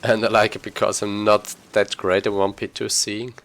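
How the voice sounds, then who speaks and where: A man with a fairly low voice streams a video game speedrun. He talks informally and rambles a little, thinking aloud as he plays. It is casual live commentary. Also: flat delivery, normal speed, a bit bright.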